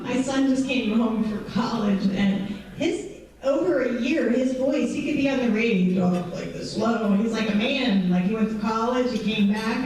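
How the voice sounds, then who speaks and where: High-voiced woman counselor in an echoey hall. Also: darkish tone. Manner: conversational, frequent um and right. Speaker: mental health counselor. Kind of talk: presentation to a patient group. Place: large echoey event room. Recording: echoey hall, distant mic, faint noise.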